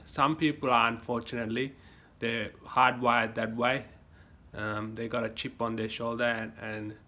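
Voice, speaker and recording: Bright-voiced Australian man rambling to his phone. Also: mid-range voice. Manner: rambling, folksy advice with many fillers and restarts. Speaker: vlogger. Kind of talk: casual rambling monologue to a phone camera. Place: home gym. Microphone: phone camera.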